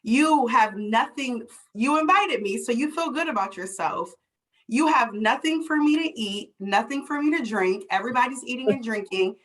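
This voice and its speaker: A woman's voice: fairly high pitch, animated, moderate pace, poor audio. A woman hosting a conversation, explaining passionately and emphatically with everyday scenarios and rhetorical questions. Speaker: host, DEI consultant.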